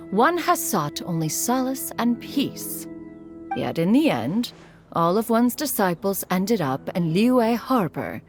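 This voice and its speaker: A woman voicing an ancient crane spirit in a video game, speaking in an archaic, formal and stern way. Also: mid-range voice. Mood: reflective. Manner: archaic, formal, refers to herself as one.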